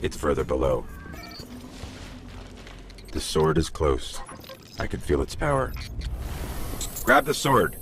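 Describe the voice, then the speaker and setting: A small robotic companion from a video game, guiding in a very deep voice. The delivery is dry and measured but moves freely up and down, with a gravelly creak. Music plays clearly behind it. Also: male voice, big pitch swings, a bit bright, heavily acted. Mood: wary. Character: small robotic companion, male, calm guide and scout. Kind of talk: scripted game voice acting, mission narration.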